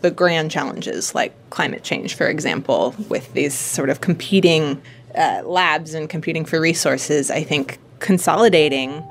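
A woman researcher speaking casually and thoughtfully as an interview guest. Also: low-pitched. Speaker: materials science researcher, interviewee. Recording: faint background ambience.

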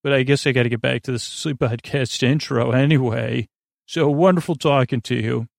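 Gravelly, rambling man hosting a sleep podcast. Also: mid-range voice, no room sound, clean studio sound. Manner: meandering, halting, trailing off mid-thought; goofy, whimsical. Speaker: podcast host.

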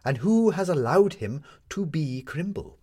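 A man's voice: a little high, expressive, a little slow, dark tone, slightly rough, pitched above the performer's own voice. A man voicing a sceptical woman reporter in a storybook, with pointed, dry, accusing retorts.

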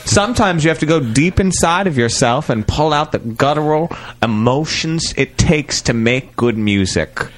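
A man's voice: a little high, animated, moderate pace, few pauses. A man co-hosting a podcast in a comic diva persona, bantering in a campy, haughty, theatrical way.

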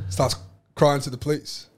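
A man's voice: on the high side, level tone, slow pace, a little mellow, faint music behind. A young man co-hosting a podcast, telling a story in a rambling way with lots of crude swearing. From Australia. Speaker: podcast co-host. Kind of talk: casual banter.

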